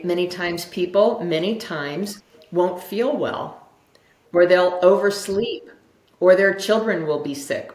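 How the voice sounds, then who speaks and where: A woman with a slightly low voice talks like a motivational coach being interviewed. She tells stories conversationally and leans on repeated phrases to drive points home. The sound is roomy and echoing. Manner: emphatic, repeats phrases for effect. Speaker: interview guest, self-development coach. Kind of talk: conversational motivational explanation with anecdotes.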